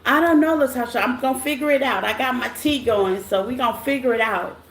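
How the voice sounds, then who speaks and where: A woman with a scratchy, rough voice hosts a casual tarot livestream, greeting viewers by name in a loose, chatty way. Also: sits high, a little fast. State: voice rough after a silent morning. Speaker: tarot reader hosting a live stream. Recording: echoey room with faint noise.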